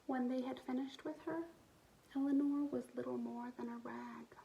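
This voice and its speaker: A woman reading a picture book aloud to young children in an expressive way, pausing to explain things to them. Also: high-pitched, vocal fry, muffled recording, a little hiss or hum. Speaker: storytime reader for a school community.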